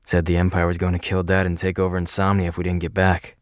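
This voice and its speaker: A man's voice: low-pitched, monotone, quick pace, no room sound, studio quality, lightly acted. A man playing a casual, sullen young prince who is stubbornly loyal, in an audio drama. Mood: casual, wary. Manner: casual, slangy, incredulous. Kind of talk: audio drama acting and first-person narration.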